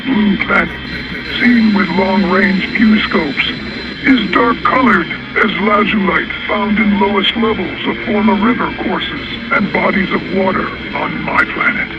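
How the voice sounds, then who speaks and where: A man's voice: high voice, a little slow. A man playing a solemn alien invader from Mars in a science-fiction radio drama, with stilted, poetic phrasing. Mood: solemn, ominous. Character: solemn alien Martian invader observing from spacecraft. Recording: dull narrow sound under loud music.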